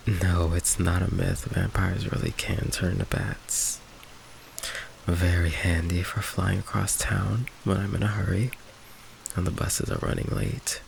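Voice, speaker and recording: A man playing a shy, affectionate vampire boyfriend in an intimate ASMR roleplay, speaking softly and haltingly with rain behind him. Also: low voice, full character voice, poor audio. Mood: playful, bashful. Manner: soft, hesitant, halting, breathy sighs and chuckles. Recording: faint noise, rain ambience behind. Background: rain ambience.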